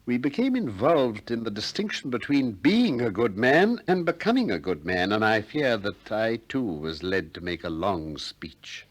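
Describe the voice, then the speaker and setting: An actor with a British accent playing a shrewd, politely persistent ancient Greek philosopher in a 1960s radio play, with measured, probing delivery. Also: male voice, lowish voice, big pitch swings. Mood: wry narrating. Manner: probing, courteous, measured questioning.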